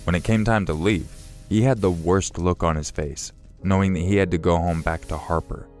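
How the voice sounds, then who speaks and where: A man narrating a true-style horror story in a slow, measured, somber way. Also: deep voice, steady tone, a little mellow. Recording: faint music behind.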